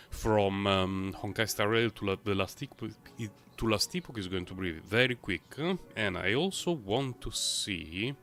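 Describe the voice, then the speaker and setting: A man livestreaming a game, talking in a rambling, chatty way full of fillers, his voice a little low and rough from a recent cold. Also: a bit bright. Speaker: game streamer. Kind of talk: casual live-stream gameplay commentary.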